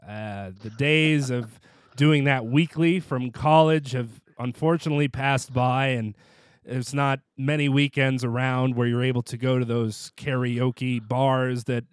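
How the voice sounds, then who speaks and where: Man, podcast host, friendly, deliberate questions, mid pitch, dry. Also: clear, resonant tone, dry recording. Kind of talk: casual interview chat.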